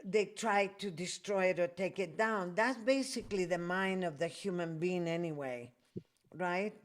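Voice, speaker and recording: A woman raised in Chile and Peru, hosting a podcast in a reflective way and often pausing to find her words. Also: lowish voice. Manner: slow, reflective, searching for words. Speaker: podcast host. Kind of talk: conversational interview.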